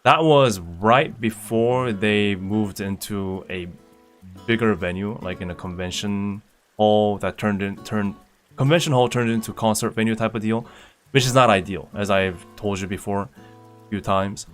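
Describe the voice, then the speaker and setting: Relaxed young man chatting over guitar. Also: fairly low pitch, measured pace. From Indonesia. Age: young adult. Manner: relaxed, rambling with fillers and restarts. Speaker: streamer. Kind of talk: casual chat reading viewer comments. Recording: faint guitar music behind.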